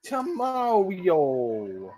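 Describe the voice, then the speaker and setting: A man's voice: high voice, huge pitch swings, extremely slow, dark tone. A young man hosting a casual comedy podcast, rambling and joking at his own expense. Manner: goofy, rambling, self-deprecating jokes. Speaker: podcast host.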